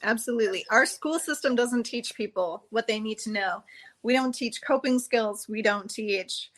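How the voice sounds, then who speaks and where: A woman's voice: fairly high pitch, normal speed, a bit bright. Woman hosting, explaining earnestly. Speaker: podcast host and trauma practitioner. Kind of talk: conversational podcast interview.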